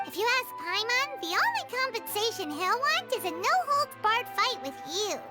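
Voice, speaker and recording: A woman voicing a tiny, curious, chatty childlike girl companion in a video game, squeaky and inquisitive. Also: sing-song, normal speed, over music. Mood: chirpy, matter-of-fact. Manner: exclaiming, inquisitive, refers to self in third person. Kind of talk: video game character acting.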